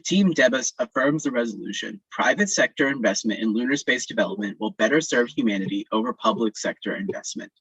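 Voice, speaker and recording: A man's voice: medium pitch, expressive, a little fast, few pauses, a bit bright, breathy edge, no echo. A teenage boy from Texas, a student debater reading his prepared opening speech steadily over a video call, with small slips. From Texas, United States. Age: teens. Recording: dull, lo-fi, dry call audio.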